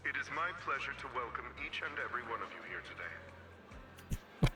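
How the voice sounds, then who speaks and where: A man's voice: mid-range voice, lively intonation, moderate pace, lightly acted. A man playing a polished chief executive in a video game, giving a formal, ceremonious address to guests. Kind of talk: video game voice acting, public speech.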